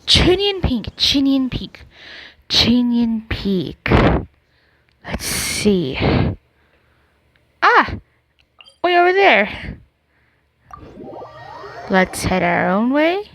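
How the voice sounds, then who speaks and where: A woman's voice: on the high side, very animated, very slow, long pauses, a bit bright. A woman giving casual gameplay commentary, thinking aloud and repeating phrases to herself. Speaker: game streamer.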